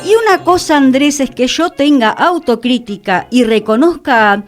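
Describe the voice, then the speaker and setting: An actress playing a whimsical, touchy radio hostess in a fantasy radio play, with theatrical, florid phrasing. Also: female voice, high voice, expressive, normal speed, soft background music. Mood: indignant. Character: whimsical, touchy radio hostess living among enchantments and dragons. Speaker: radio show host. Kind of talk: scripted radio theatre dialogue.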